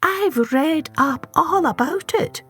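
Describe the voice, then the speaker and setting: Storybook role: kindly, gushing book-loving man acted by a woman, high and very animated. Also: takes it slowly, exaggerated voice. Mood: soothing. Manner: gushing, exclamatory. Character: kindly, bookish, excitable man who adores books.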